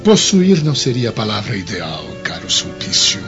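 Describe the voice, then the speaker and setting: A man's voice: lowish voice, sing-song, moderate pace. A Brazilian man playing an arrogant, lustful, scheming Roman governor in a radio drama, imperious and theatrical. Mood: haughty, sly. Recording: muffled lo-fi audio, music underneath.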